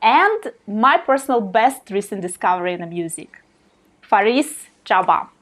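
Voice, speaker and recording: A woman's voice: medium pitch, big pitch swings, normal speed, fairly bright tone. Woman, interviewer, friendly, encouraging, outdoor interview. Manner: friendly, encouraging, small laughs and many backchannels. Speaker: interviewer, host. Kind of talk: interview questions.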